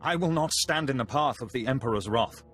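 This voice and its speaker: Stern senior game villain lord, mid pitched, formal, warning tone, bit of music behind. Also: male voice, animated, brisk, a bit bright, breathy edge. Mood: resolute. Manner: cautioning, formal. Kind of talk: video game voice acting. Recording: music under the voice.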